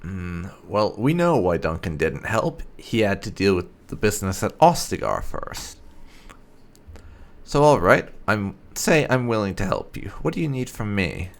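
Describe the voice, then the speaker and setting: A man hosting a gaming playthrough, reading his character's dialogue aloud in a deliberate, theatrical way. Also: lowish voice, big pitch swings. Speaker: gaming channel host, player.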